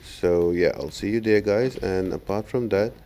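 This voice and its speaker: A man's voice: lowish voice, normal speed, dark tone, slight background noise. A man giving a casual gameplay tutorial as host, explaining things step by step. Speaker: gaming video host giving a boss guide.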